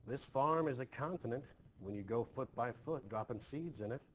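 A man playing a gruff, weary Puritan farmer husband in a play reading, terse and plain-spoken. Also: medium pitch, moderate pace, fairly bright tone, natural voice. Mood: conciliatory. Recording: noticeably hissy home screen recording.

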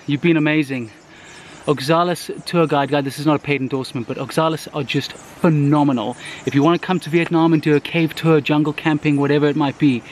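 An enthusiastic man in his thirties speaks straight into a handheld camera held at arm's length. His voice sits a little high. A faint hiss of outdoor noise stays under his talk. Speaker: travel vlogger. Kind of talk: casual travel vlog. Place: outdoors in jungle and inside a huge cave.

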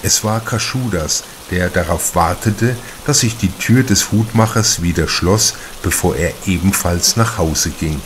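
A man reading a crime novel as an audiobook narrator, in a measured, deliberate manner with careful pauses. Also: low-pitched, a little hiss or hum.

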